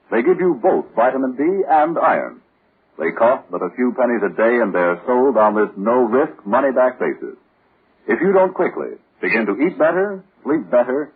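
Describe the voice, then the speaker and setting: A man's voice: fairly low pitch, slightly rough. A man announcing a 1940s radio program and reading its sponsor's ads in a formal announcer style. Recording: tinny old radio broadcast.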